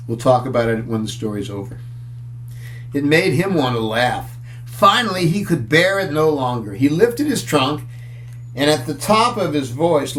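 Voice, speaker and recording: Man reading a storybook, noisy background. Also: medium pitch. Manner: chatty, pauses to comment on pictures and question listeners. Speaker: picture book reader. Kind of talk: read-aloud storytelling for children with casual asides.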